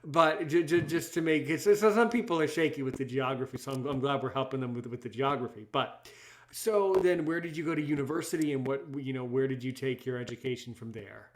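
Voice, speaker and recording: A man's voice: mid-range voice, a little fast. A man hosting a conversational podcast interview, speaking emphatically and often repeating his words and phrases for effect. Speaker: podcast host; English as a second language teacher.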